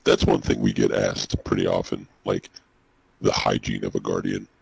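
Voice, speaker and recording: A man's voice: little pitch movement, moderate pace, a little mellow, no room sound, heavily acted, low-quality recording. A man voicing a dry, skeptical male robot co-host who takes things literally, in a comedic in-character podcast. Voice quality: very deep gravelly voice.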